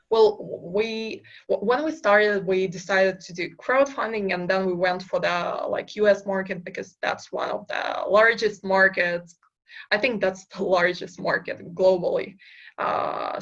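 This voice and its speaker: A woman's voice: mid-range voice, gravelly, a bit bright, dull audio. A young woman from Kazakhstan, a startup founder answering interview questions in a hesitant way with many fillers and restarts. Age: young adult. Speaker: startup founder, podcast guest.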